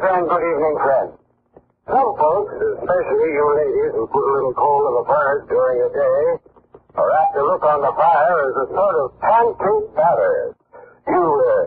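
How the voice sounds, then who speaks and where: Animated 1940s radio pitchman. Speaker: advertising spokesman, heating expert. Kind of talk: folksy scripted 1940s radio commercial. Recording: muffled 1940s radio recording, faint noise.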